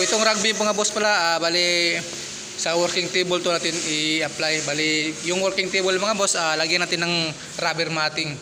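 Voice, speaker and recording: A man's voice: a little high, very noisy. A man filming a casual walkthrough of a warehouse he is building, talking the viewer through the work with lots of fillers. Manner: chatty, many fillers, keeps addressing viewers as "boss". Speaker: construction vlogger. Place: indoor warehouse under construction. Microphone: handheld phone camera.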